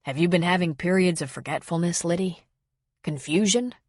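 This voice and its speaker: A gentle male pastor, voiced by a woman narrator in an audiobook novel. He sounds calm and concerned, asking and reassuring in a measured, soothing way. The recording is close and dry. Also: lowish voice, dry recording, close to an everyday voice.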